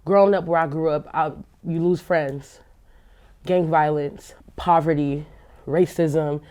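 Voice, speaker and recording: An American woman from Los Angeles speaks as a TV talk show guest in a low, mellow voice. She talks candidly and reflectively. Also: fairly even intonation, unhurried. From Los Angeles, USA. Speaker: guest, business founder. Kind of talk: TV studio interview.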